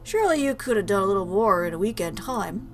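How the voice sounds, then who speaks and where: A woman's voice: medium pitch, lively intonation, fast, a little hiss or hum. A woman reading visual novel dialogue aloud as a gaming video host. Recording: prominent game music behind voice.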